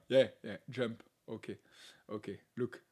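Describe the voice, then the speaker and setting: A man hosting a live stream, chatting casually in English with a non-native accent, laughing often and filling pauses with "uh". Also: medium pitch, fairly even intonation, darkish tone. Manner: laughs often, talks with many "uh" fillers. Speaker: livestream host.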